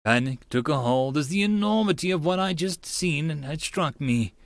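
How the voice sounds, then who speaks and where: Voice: medium pitch, lively intonation, normal speed, no room sound, studio quality. An audiobook narrator giving a theatrical, emphatic first-person reading of an anime-style story. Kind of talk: dramatic first-person reading of a visual novel.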